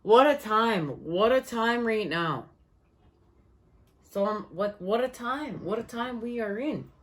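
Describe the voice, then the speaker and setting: A woman giving a spiritual tarot reading, her voice worn and fading, speaking in slow, soothing, repetitive phrases. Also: on the high side, lively intonation, a bit bright. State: losing her voice. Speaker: tarot reader.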